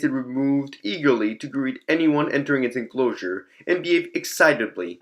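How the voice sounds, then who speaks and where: A man acts out a detached researcher recording a scripted audio log. The voice sits on the high side, and the delivery is formal and matter-of-fact, like clinical notes dictated for an experiment journal. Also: fairly bright tone, close to an everyday voice.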